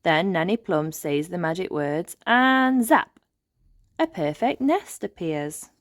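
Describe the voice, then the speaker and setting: Female storybook narrator for small children. Unhurried, highly expressive, dry sound. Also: dry recording. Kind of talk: animated read-aloud for young children.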